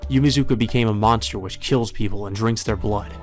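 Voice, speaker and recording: A man's voice: fairly low pitch, brisk. A man reading a visual novel aloud as dramatic first-person narration. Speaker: let's play reader. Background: music.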